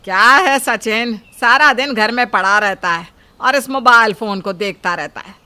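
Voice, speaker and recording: A woman voicing a scolding, sarcastic mother of a grown son in an animated family story. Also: a little high, unhurried, clean tone. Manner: nagging, sharp-tongued.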